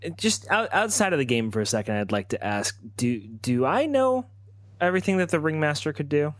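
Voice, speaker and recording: A man improvises his character's part at a tabletop game in a dry recording. His pitch moves around a lot, and he talks haltingly, thinking aloud as he goes. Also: very animated, dry recording. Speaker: roleplaying game player.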